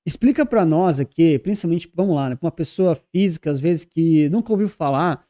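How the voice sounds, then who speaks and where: A man's voice: fairly high pitch, expressive, a little fast, warm tone. A man hosting a casual podcast interview, chatty and full of fillers. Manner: chatty, loose with fillers like "né" and "cara". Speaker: podcast host.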